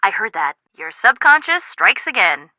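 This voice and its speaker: A woman's voice: fairly high pitch, sing-song, normal speed, pushed and bright, no echo, heavily acted. An actress playing a sensible, dry-witted young American woman in a video game, wry and matter-of-fact. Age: young adult.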